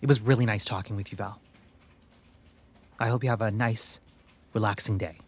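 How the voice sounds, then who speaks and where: An actor playing a polite young man working as a customer service rep, speaking in a measured, naturalistic way for an audio drama. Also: lowish voice, fairly even intonation, breathy edge. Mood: tender, hesitant. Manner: courteous, measured, hesitant when personal. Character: polite young male call-centre rep, aspiring drag queen.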